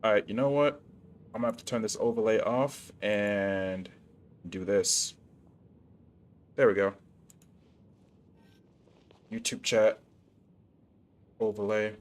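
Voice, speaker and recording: A man's voice: fairly low pitch, fairly even intonation, slow, long pauses. A young man talking casually to his viewers while livestreaming a video game. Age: young adult.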